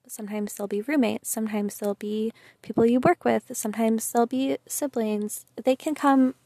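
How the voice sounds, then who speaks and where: A woman's voice: fairly high pitch, a little mellow, no echo. A young woman with a sweet voice venting casually to listeners, chatty and emphatic, full of "like" and "you know". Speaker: vlogger.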